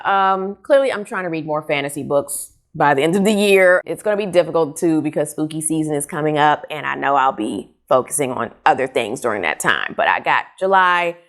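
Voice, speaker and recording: American female book vlogger, 30s, slightly low voice, informal and expressive delivery, home setting. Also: normal speed, few pauses. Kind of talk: casual chat to camera, book review. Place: small furnished room at home.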